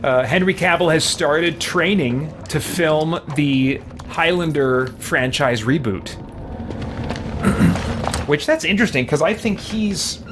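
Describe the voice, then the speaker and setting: A man's voice: a little high, noisy background. A middle-aged man hosting a game live stream, chatting casually and thinking aloud in an easygoing, rambling way.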